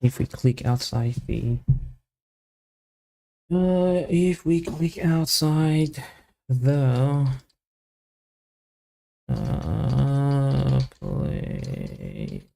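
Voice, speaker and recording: Male developer streamer, casual think-aloud delivery, very slow with long pauses, heavily creaky mid-pitched voice. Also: very animated, warm tone. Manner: halting, muttered fragments with long pauses. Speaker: software developer live streamer. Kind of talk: casual live coding commentary, thinking aloud.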